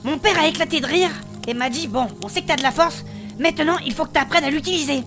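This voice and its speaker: A woman playing a spirited female blacksmith adventurer, recounting her past in animated, colloquial in a fantasy audio drama. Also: high-pitched, quick pace, music in the background, lightly acted. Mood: lively storytelling. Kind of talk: French fantasy audio fiction acting.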